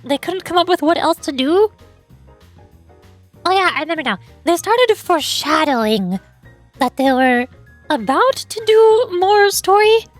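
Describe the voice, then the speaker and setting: A young woman streaming a game in casual, rambling chat, her voice hoarse and fading. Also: very high voice, lively intonation, a little slow, pauses often, soft background music. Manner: rambling, self-pitying banter with fillers. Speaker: VTuber streamer.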